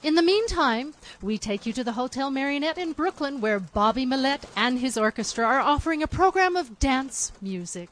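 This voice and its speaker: A woman playing a formal, urgent 1930s radio news announcer with a measured, declarative delivery. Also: high-pitched, lively intonation, fairly bright tone. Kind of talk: 1930s radio drama newsreading.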